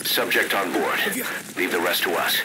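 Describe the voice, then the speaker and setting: A man playing a gruff military support officer in a video game, giving terse, businesslike orders over the radio. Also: sits low, moderate pace. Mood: terse, commanding. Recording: radio voice under loud vehicle noise.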